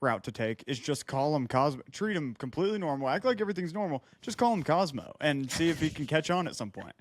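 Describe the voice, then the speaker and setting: A man's voice: fairly high pitch, very animated, quick pace, slight background noise. A man co-hosting a podcast in casual, conversational talk. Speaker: podcast co-host. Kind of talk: casual chat.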